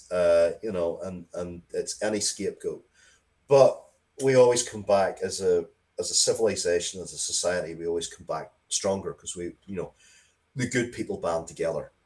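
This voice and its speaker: Male interview guest, very deep voice, informal, opinionated, emphatic delivery with repeated words. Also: a little mellow. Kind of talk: casual, opinionated interview talk.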